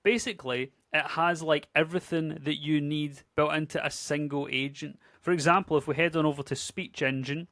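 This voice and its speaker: A man in his thirties with a Scottish accent, chatting casually and upbeat through a screen-share software tutorial. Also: on the high side, fairly even intonation, a little fast, hardly any pauses, no echo, clean studio sound. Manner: chatty, upbeat, conversational with filler words. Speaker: tech YouTuber.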